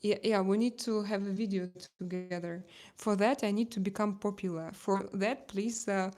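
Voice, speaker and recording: A woman from Russia with a Russian accent, a native Russian speaker, chatting casually as a live stream host in halting English and often searching for words. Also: medium pitch, steady tone, darkish tone.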